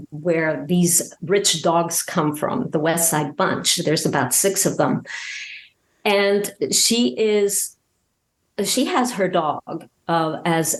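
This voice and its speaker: A woman speaking as an interview guest, explaining things casually with hesitant phrasing. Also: on the low side. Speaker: guest, voice actor and scriptwriter.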